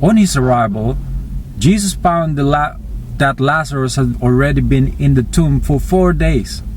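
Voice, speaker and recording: A man narrating scripture in an expressive reading style. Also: medium pitch, measured pace, a little hiss or hum.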